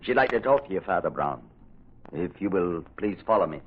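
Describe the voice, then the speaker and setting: An actor playing a tense, defensive suitor in a radio drama, formal, clipped and protective. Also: male voice, sits low, animated, fairly quick. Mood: stiff, polite. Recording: muffled, noisy old radio recording.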